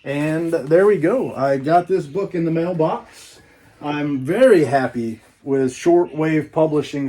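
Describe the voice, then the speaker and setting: A man's voice: on the high side, darkish tone. A man chatting casually through an unboxing as a book collector, in a folksy, rambling, informal way.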